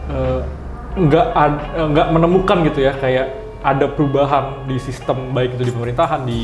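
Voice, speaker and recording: A man's voice: medium pitch, steady tone, normal speed. A man giving casual interview answers, informal and dropping in English words. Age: 20s. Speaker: guest, startup founder. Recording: roomy echo with music behind.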